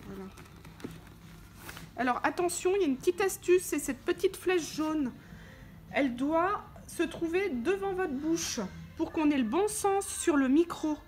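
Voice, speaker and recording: A woman's voice: extremely high pitch, animated, measured pace, a little mellow. A woman giving an informal, hands-on product tutorial, explaining step by step as she handles the parts. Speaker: tutorial presenter for a motorcycle gear channel. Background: background music.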